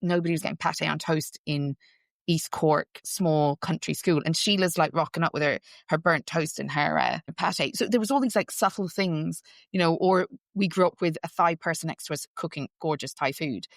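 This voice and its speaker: Gravelly woman with mixed irish-english accent. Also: deep voice, moderate pace, dry recording, studio quality. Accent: Irish-English mix, heard as English in Ireland. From Ireland, raised partly in London. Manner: thoughtful, many restarts and fillers. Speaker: guest. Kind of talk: reflective conversational interview.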